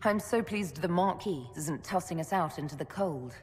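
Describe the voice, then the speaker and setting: A woman voicing a wry heroine, low voice, dry manner, long reverb, video game. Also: normal speed, a little hiss or hum, close to an everyday voice. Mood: curious. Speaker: game protagonist. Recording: reverberant game audio with faint ambience.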